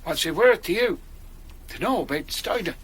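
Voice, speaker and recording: An actor playing a sly, cagey old gravedigger in a radio drama. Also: male voice, fairly high pitch, lively intonation, moderate pace, fairly bright tone, slight background noise. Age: older. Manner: cagey, drawling village speech.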